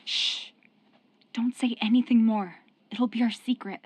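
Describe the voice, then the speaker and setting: An actress in a radio drama playing a loyal, forgiving young sweetheart with a firm streak. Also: female voice, on the high side, fairly even intonation, a little slow, husky, no room sound. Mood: concerned, hushing.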